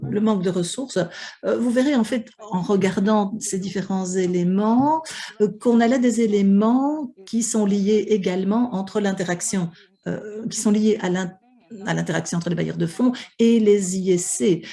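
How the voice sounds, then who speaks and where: A woman's voice: mid-range voice, normal speed, mellow. A woman interpreting a conference panel live, with many hesitations and restarts. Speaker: simultaneous interpreter for an online panel.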